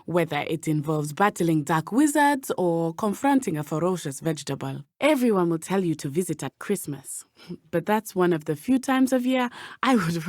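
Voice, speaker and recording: Game role: principled young witch student, slightly low register, careful formal diction, earnest and courageous, dry studio sound. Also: darkish tone, no room sound. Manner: formal, measured phrasing without contractions. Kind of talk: video game voice acting.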